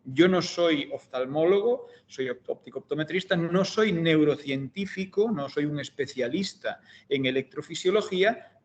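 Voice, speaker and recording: A middle-aged man from Galicia with a Galician Spanish accent, giving an online seminar as guest lecturer in a measured, hesitant way with frequent fillers and restarts. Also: on the high side. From Galicia, Spain. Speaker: guest lecturer, optometry researcher. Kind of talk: academic seminar talk.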